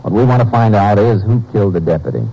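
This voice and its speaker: Low, brisk, steady lawman. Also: vocal fry. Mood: steady, persuasive. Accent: American. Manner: terse, steady, matter-of-fact. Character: calm, firm male Texas Ranger investigator. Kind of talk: 1950s radio drama acting and narration. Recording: muffled old radio recording, faint music.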